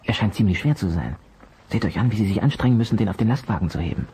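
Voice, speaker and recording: A man's voice: fairly low pitch, lively intonation, fast, dark tone. A man playing a cautious, commanding detective in a German audio drama, speaking with urgent authority on a noisy old transfer. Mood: hushed, alert. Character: male detective leading two young helpers, cautious, commanding.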